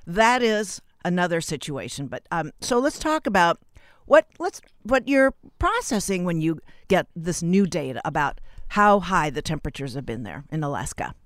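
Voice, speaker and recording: An interview host with a mid-range voice speaks in a conversational way. The delivery is halting, with phrases begun again midway. The sound is dry, with little room around it. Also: medium pitch, no echo.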